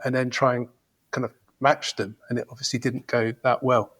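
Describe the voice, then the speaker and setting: A man's voice: mid-range voice, level tone, fairly quick, fairly bright tone. A man in his fifties, a football fan invited as a podcast guest, chatting and reminiscing in a rambling, reflective way. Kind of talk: casual podcast chat.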